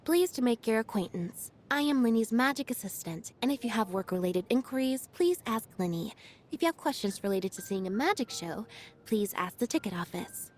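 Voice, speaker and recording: High, deadpan young magician's assistant. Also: female voice, brisk. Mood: reserved, curt. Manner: deadpan, reserved, understated. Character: aloof, quiet young female magician's assistant. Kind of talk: video game character voice lines.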